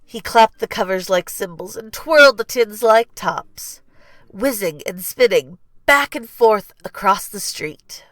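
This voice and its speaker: A woman narrating a children's storybook in an expressive, deliberate read-aloud style. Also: mid-range voice, dry recording. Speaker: storybook reader.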